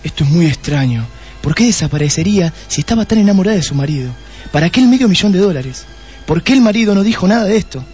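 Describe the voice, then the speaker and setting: A man's voice: high voice, expressive, fast talker, darkish tone, husky, faint music behind. A man playing an impatient, brooding police detective in a comic radio play, exasperated and bossy, with an Argentine accent.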